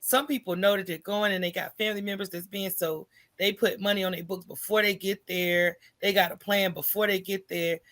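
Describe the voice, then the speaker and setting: An American woman speaks as an interview guest with a bright, forceful edge to her mid-pitched voice. She tells her story candidly and conversationally, slipping into remembered dialogue and punctuating points with a questioning tag. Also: a little fast. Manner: animated, acts out remembered dialogue, often asks "right?". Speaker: podcast guest, entrepreneur.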